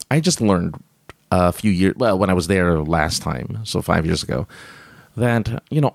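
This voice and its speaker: A man's voice: sits low, vocal fry, dry recording, studio quality. A man hosting a live stream, chatting casually and reading viewer comments, rambling with many fillers and restarts and laughing often.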